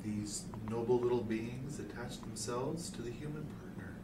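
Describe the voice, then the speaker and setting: A man's voice: mid-range voice, normal speed, close to an everyday voice. A man playing a creepy, outwardly kindly dentist with unctuous, condescending persuasion in an audio story, recorded in a hotel room. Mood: reverent. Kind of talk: hobby audio story reading. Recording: roomy hotel room, distant, noisy hum.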